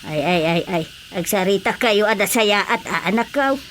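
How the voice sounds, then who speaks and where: A woman playing a worried older mother in a radio drama, with an Ilocano accent and drawn-out exclamations. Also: medium pitch, a bit bright, natural voice. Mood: worried, dismayed. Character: worried older mother defending her child. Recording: faint background noise, cricket ambience.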